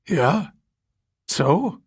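A man's voice: on the high side, very animated, very slow, gravelly, husky, dry recording, clean studio sound, voice pushed up, heavily acted. A man voicing a ragged, dazed drifter in an audiobook story, bitter and rambling, with repetitive, slangy street talk. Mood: suspicious, dazed.

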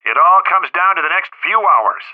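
A narrator puts on a male commander heard over a crackling radio, his voice pitched noticeably high and squeezed thin like a phone line. He briefs in a steady, level way. It is an audiobook figure. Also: normal speed. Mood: briefing. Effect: radio transmission.

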